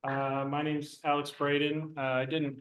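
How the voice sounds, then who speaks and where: A man's voice: on the high side, little pitch movement, measured pace, fairly bright tone. Self-deprecating man in an echoey room. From California. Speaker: HOA board candidate. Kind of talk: candidate self-introduction at a public meeting. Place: community meeting room.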